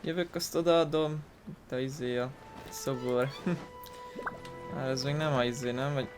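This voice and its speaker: A man's voice: medium pitch, creaky. A man with a Hungarian accent giving casual, informal let's-play commentary in muttered asides. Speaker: gaming video host. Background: game music.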